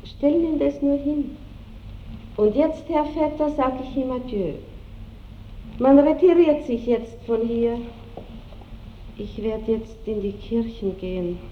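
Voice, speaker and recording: A woman's voice: a little high, animated, takes it slowly, long pauses. An actress playing a grand, worldly Viennese noblewoman with an Austrian accent in a theatrical radio drama. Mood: imperious. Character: aristocratic Viennese lady, imperious, worldly, wistfully bitter. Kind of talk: 1960s German radio drama acting. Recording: dull 1960s radio recording, faint noise.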